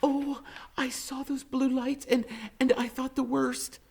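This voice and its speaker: A man's voice: very high voice, little pitch movement, measured pace, vocal fry, a little mellow, breathy edge, voice pushed up, full character voice. A man voicing an anxious, fussing grandmother in an audiobook, with a fretful, imploring manner. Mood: frightened. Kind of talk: audiobook character voice.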